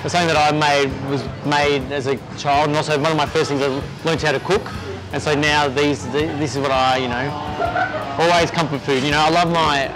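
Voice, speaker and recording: An easygoing Australian man with a voice that sits a little high for a man, chatting casually in an interview. He trips over his words and restarts often. Music plays clearly behind him in a restaurant. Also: poor audio. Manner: easygoing, halting with many restarts and fillers. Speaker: executive chef, interview guest.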